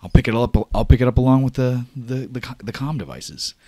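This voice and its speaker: A man voicing a weary, protective male rig worker in a tabletop game, talking in a low, halting way. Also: expressive, warm tone, dry recording, studio quality, close to an everyday voice. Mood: resolute.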